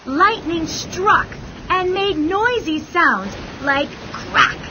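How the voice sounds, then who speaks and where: A woman narrating a scripted children's bedtime story in deliberate, careful phrases. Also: very high voice, animated, noisy background. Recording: dull sound, soft music behind.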